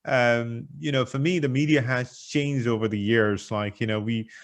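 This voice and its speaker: A man hosts a podcast interview, asking long questions that stop and restart often. His voice sits at a middle pitch. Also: steady tone, normal speed. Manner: hesitant, many fillers and restarts. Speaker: podcast host.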